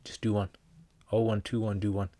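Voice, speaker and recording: A man with a fairly low voice hosts a livestream, answering viewer comments in a relaxed, joking, meandering way. The sound is dry and close, with no room around it. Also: fairly quick, dry recording. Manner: jokey, rambling, with slangy asides. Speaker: Islamic teacher hosting a livestream Q&A.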